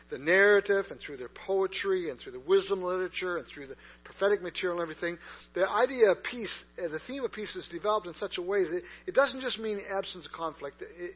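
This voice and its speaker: A man's voice: sits high, slightly rough. A man preaching a sermon in a conversational way, with many fillers and restarts. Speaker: pastor. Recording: dull lo-fi recording with mains hum.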